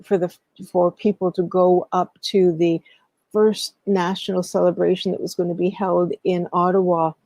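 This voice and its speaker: A middle-aged woman tells her story as an interview guest, her fairly low voice edged with creak. She is earnest and deliberate, often pausing mid-thought, and the sound is close and dry. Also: dark tone, no echo. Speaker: guest, historian and educator. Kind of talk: interview storytelling, recounting past work.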